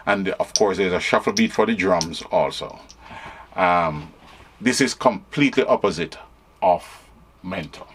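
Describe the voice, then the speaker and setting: An older man from Jamaica, casually explaining music history and pausing to play examples on a keyboard. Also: low-pitched, fairly bright tone, slightly rough. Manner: teaching, stops to demonstrate on keyboard.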